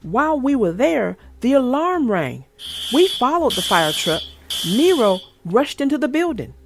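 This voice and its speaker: A woman children's book narrator speaking expressively and deliberately, as if to young children. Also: high voice, big pitch swings, faint music behind. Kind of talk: storybook read-aloud and casual chat.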